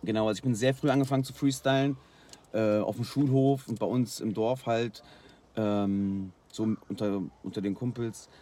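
A man from Germany, a guest freestyle rapper, telling stories in relaxed slangy casual chat, worn out after a long night. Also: on the low side, moderate pace. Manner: relaxed, slangy storytelling with fillers.

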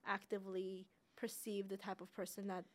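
A woman's voice: medium pitch, level tone, normal speed. A woman from Armenia hosting a podcast, sharing personal anecdotes in a casual, reflective, conversational way. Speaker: podcast host.

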